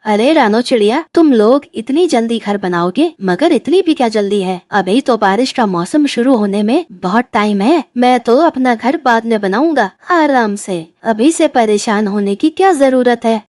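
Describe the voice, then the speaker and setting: A woman's voice: a little high, expressive, moderate pace, few pauses, darkish tone, no room sound, clean studio sound, close to an everyday voice. A woman voicing a lazy, scheming male crow in a cartoon fable, with a taunting, bossy manner. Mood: lazy, dismissive. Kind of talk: animated fable dialogue.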